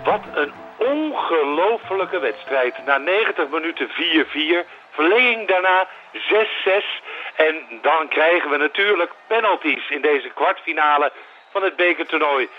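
An actor playing a rambling, excited live football commentator in a radio comedy, padding and repeating himself. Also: male voice, a little high, unhurried, muffled recording, faint music behind. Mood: excited, stalling. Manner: breathless padding, repetitive.

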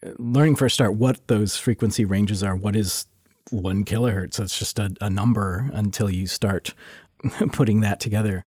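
A man talking as a sound designer guest in a casual interview, relaxed and laughing often, restarting his sentences. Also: on the low side, moderate pace, breathy edge, no room sound, clean studio sound. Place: lives in Toronto.

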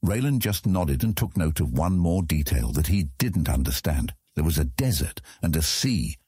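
A man narrates scripted fantasy fiction. His voice is very deep, dark and husky. The delivery moves freely in pitch as he tells the story. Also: sing-song, normal speed, dry recording, clean studio sound.

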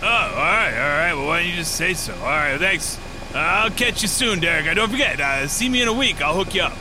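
Male actor as shady laid-back dude, audio drama comedy, high voice, swooping delivery, rambling, repeats himself. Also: expressive, a little fast, background noise, natural voice. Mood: easygoing. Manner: rambling, casual, repetitive. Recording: music behind the voice.

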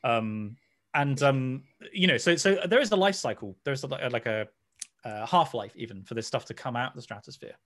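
A man's voice: medium pitch, lively intonation, normal speed, a bit bright. A man explaining science casually on an online call, digressing and reaching for analogies.

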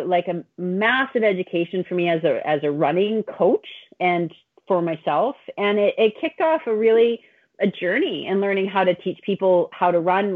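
A woman in her late forties, an athlete and coach, talking casually and candidly in an interview, often poking fun at herself. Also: mid-range voice. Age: late 40s. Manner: candid, self-deprecating. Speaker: guest, veteran triathlete and running coach. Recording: thin phone-like call audio.

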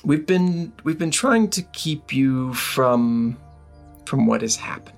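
A man voicing a worried, loving dragonkin mother in a tabletop game, speaking earnestly and haltingly. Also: medium pitch, lively intonation, gravelly, faint music behind. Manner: earnest, hesitant.

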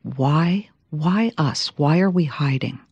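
A woman's voice: low-pitched, slow, darkish tone, slightly rough, no room sound, muffled recording. A woman works as a podcast host, asking questions in a conversational interview style. The recording is dry and close, with very little room sound.

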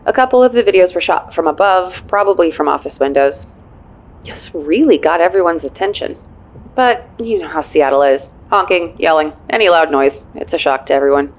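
An actress playing a dryly wry, efficient and loyal assistant in an audio drama, speaking through a phone filter with a deadpan manner. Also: female voice, lowish voice, a little fast. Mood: dry, teasing. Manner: deadpan, dry. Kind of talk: naturalistic audio drama acting. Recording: thin narrow phone-line sound.

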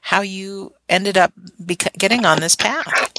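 A woman's voice: medium pitch, slow pace, sharp tone, dry recording, rough recording. A woman hosting an interview radio show, moving between scripted introductions and conversational questions.

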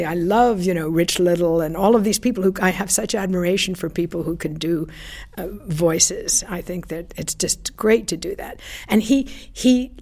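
A woman's voice: on the low side, darkish tone. An older woman being interviewed, telling chatty anecdotes about her radio days with fillers and small laughs. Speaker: former radio broadcaster interviewee. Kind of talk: reminiscing interview.

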